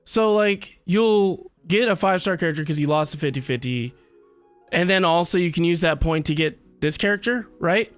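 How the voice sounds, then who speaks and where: A man's voice: fairly high pitch, normal speed, no echo. A man hosting a casual game livestream, rambling and thinking aloud as he talks to viewers. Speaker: livestream host playing a video game. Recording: game music behind the voice. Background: video game music.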